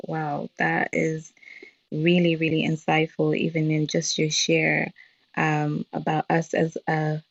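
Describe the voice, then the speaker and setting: A woman's voice: low voice, dry recording. A woman hosting an online talk, thanking a speaker and leading on to the next guest, with many fillers. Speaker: host. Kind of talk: moderating an online talk room. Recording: dry lo-fi streamed audio.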